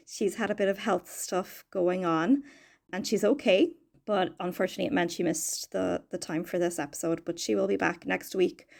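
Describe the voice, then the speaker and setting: A woman's voice: lowish voice, creaky, darkish tone. A woman hosting a podcast, introducing the show and asking questions in a friendly, plainspoken way. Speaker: podcast host. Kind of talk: podcast interview.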